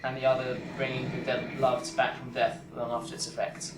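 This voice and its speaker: A man's voice: medium pitch, monotone, brisk. A man in his twenties with a British accent, improvising narration as dungeon master of a tabletop game. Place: domestic dining room, indoors. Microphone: overhead boom microphone over the table. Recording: echoey room, clear background noise.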